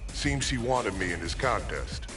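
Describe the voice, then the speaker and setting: A haunted former cop with a lowish voice, locked away and eaten by guilt. He narrates his story in a bitter, intense, dramatic game-cutscene style, under loud music. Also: animated, measured pace. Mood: brooding, remorseful. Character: tormented, guilt-ridden ex-cop locked in an asylum. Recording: loud game score over the voice.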